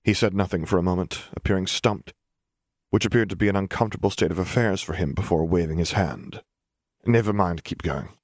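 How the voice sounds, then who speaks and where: A man's voice: sits low, fast, a little mellow, dry recording, studio quality. A man reading a scripted story aloud as an audiobook narrator.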